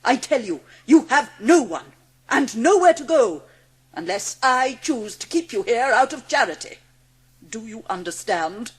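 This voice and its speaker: Cruel schoolmistress, high voice, slow emphatic delivery, scornful, husky edge, audiobook drama. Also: female voice, big pitch swings, full character voice. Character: cruel, haughty, cold-hearted schoolmistress.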